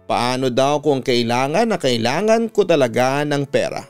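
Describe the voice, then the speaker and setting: Filipino man, medium pitch, resonant voice, dramatic scripted storytelling, radio announcer delivery, background music. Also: expressive, normal speed, clean tone. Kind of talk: dramatic scripted narration of a listener's letter. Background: music behind the voice.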